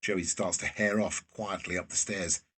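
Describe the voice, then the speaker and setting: A man with a very husky voice reading scripted present-tense narration for a drama, recorded away from the microphone. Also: deep voice, fairly even intonation, moderate pace, sharp tone, distant mic.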